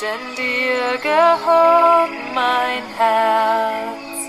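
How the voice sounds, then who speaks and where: A woman's voice: sits high, drawn-out words, over loud music. A woman singing a love ballad over musical backing.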